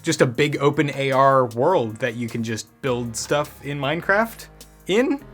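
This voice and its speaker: A man's voice: medium pitch, expressive, measured pace, fairly bright tone, faint music behind. A man hosting a gaming news show in a casual, conversational way, dropping wry asides as he goes.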